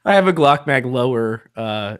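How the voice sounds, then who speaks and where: A man chatting casually on a podcast, opinionated and quick to laugh. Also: medium pitch, expressive, clear, resonant tone. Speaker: podcast co-host. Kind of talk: casual chat about firearms.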